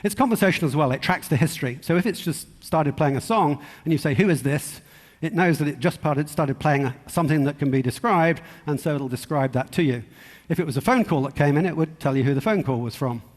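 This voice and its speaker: Male conference presenter, fairly high-pitched, informal and wry storyteller, recorded with hall reverberation. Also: brisk. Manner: conversational, wry, anecdotal. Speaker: conference speaker.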